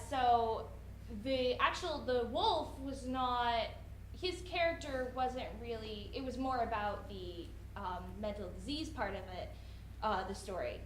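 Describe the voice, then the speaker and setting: A young woman writer answering audience questions off the cuff, often halting and restarting her thoughts. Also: on the high side. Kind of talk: unscripted question-and-answer talk after a reading. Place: indoor room at a college event. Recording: roomy echo, faint background noise.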